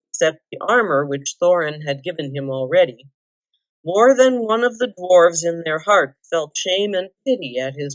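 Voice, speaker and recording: A woman reading a storybook aloud as narrator, with an expressive, dramatic delivery. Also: fairly low pitch. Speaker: volunteer reader. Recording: dull lo-fi roomy recording.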